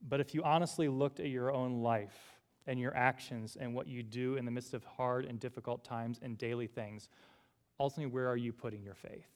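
A man's voice: mid-range voice, fairly even intonation, fairly quick, darkish tone. A male pastor preaching a sermon to a congregation in a church room, earnest and building through repeated phrases.

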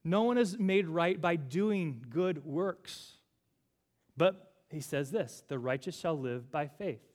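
A man's voice: on the high side, lively intonation, slow, a little mellow. A male pastor preaching a sermon to a congregation in a church room, earnest and building through repeated phrases.

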